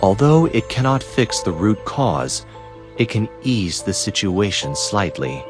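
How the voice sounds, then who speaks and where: A man playing a calm, wise master and protector in a fantasy game, speaking in a measured, formal, instructive way. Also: on the low side, over music. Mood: solemn, instructive. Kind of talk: dubbed fantasy game cutscene acting.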